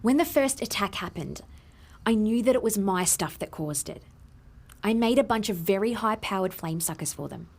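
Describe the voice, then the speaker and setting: An Australian actress playing a guilty, defensive inventor who earnestly explains herself in an audio drama. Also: female voice, medium pitch, lively intonation, normal speed, a little mellow. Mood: earnest, explaining.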